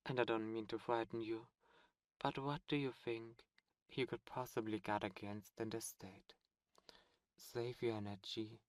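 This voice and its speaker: A man voicing a seductive ancient demon prince, a fallen angel, speaking softly and slowly in an ASMR roleplay. He coaxes and tempts, close to the microphone. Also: lowish voice, fairly even intonation, no room sound, lightly acted. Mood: tempting. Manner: soft, slow, coaxing and tempting.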